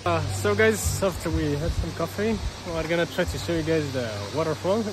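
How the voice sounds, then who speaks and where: Male vlogger, 30s, high voice, chatty, selfie-cam outdoors, noisy background with running water. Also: a little fast. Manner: chatty, addresses viewers as guys. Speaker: travel vlogger. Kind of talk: casual travel vlog. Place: outdoors by a waterfall and rice fields. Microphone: handheld camera at arm's length.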